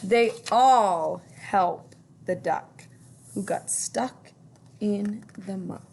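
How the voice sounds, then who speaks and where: A woman's voice: medium pitch, very slow, darkish tone, slight background noise. A woman teacher reading a children's picture book aloud, with singsong emphasis on the rhymes.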